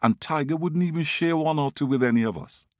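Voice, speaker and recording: A man's voice: fairly high pitch, brisk, dry recording, clean studio sound, voice pushed up, close to an everyday voice. A man voicing a crowd of hungry forest animals, plaintive and complaining, in a told folktale.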